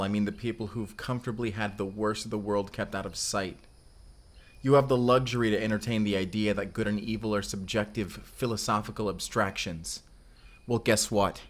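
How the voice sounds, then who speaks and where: A man's voice: on the low side, lightly acted. A man acting a mysterious vampire slayer, earnest and wryly awkward, in an intimate audio roleplay with casual, confiding delivery. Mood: grim, intense. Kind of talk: cinematic audio roleplay acting, intimate one-sided dialogue.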